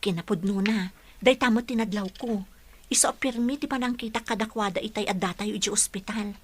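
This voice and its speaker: A woman acting in a radio drama as a caring teacher who talks gently and confidingly. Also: medium pitch, a little fast, natural voice. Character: caring female special education teacher, confiding friend.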